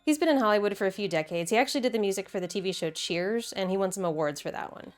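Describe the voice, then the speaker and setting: A woman's voice: mid-range voice, racing, soft background music. A woman hosting a video essay in a casual, conversational and enthusiastic way, with wry asides.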